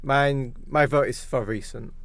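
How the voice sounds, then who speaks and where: A man's voice: mid-range voice, fairly even intonation, a little slow, a bit bright, no room sound. A man co-hosting a podcast, sharing his opinions in a casual film discussion. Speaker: podcast co-host.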